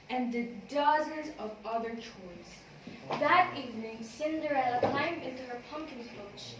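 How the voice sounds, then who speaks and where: A woman reading scripted storybook narration as the narrator of an amateur readers' theater. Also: high-pitched. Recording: very echoey room, distant, noisy.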